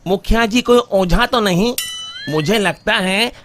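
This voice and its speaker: Man playing an anxious villager with very high voice. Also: unhurried, a bit bright, a little hiss or hum. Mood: worried, earnest. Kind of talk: Hindi cartoon story dialogue.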